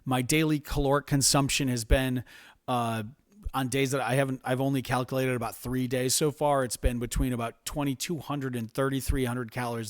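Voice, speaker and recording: An American man with a mid-range voice presents health information informally. He explains methodically and in a measured way, and the sound is dry with very little room to it. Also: mid-range voice, no echo. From United States.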